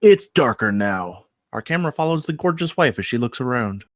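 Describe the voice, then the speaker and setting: A man reading screenplay stage directions aloud as narrator, with deliberate dramatic emphasis. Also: medium pitch, sing-song, no room sound, studio quality.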